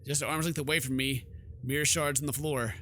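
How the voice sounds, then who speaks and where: A man's voice: medium pitch, fairly even intonation, a little fast, pushed and bright, slight background noise. A man doing let's play narration of a horror visual novel, with playful slang and joking asides.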